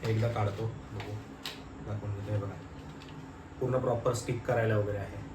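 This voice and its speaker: A man's voice: fairly low pitch, flat delivery, a little fast. Guy w doing casual install vlog, far from mic, lots of noise. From Maharashtra, India. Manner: informal, thinking aloud while working. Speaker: motovlogger. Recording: roomy, noisy, distant microphone.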